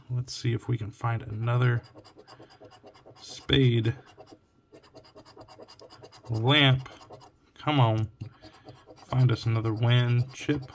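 Man, Oregon accent, tired cracking voice, gravelly, low murmur reading symbols, faint coin scratching. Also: a little hiss or hum. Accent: Oregon US English. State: tired, voice deeper and cracking late at night. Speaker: scratch-ticket channel host. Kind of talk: casual narration while scratching tickets. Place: at home, at a table at night. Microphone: phone or camera looking down at the table. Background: coin scratching and clicks.

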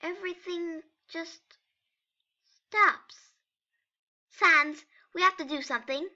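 A woman's voice: extremely high pitch, slow pace, pushed and bright, muffled recording, exaggerated voice. A woman voicing an earnest, theatrical skeleton brother in an amateur comic dub, dramatic and pleading. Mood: desperate.

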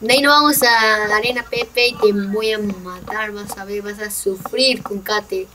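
A woman's voice: medium pitch, steady tone, measured pace. A young woman from Peru chatting and teasing casually while playing a game with a friend. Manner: playful, teasing, laughs a lot. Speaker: gaming channel host. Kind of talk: casual gameplay chat. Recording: noisy mic, voice from far.

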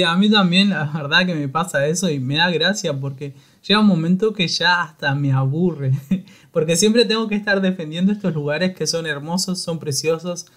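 A man's voice: a little high, a bit bright. A man hosting a podcast, talking in a loose conversational way with frequent restarts. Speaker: podcast host. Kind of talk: casual podcast interview.

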